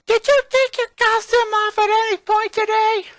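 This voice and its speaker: Shrill, furious little girl. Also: level tone, normal speed, fairly bright tone, no echo, clean studio sound.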